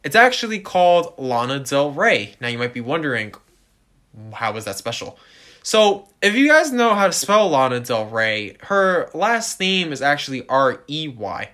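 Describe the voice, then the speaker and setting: A man co-hosting a casual music podcast, talking to listeners directly in an animated way and leaning on stock phrases. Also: fairly high pitch, normal speed. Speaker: podcast co-host.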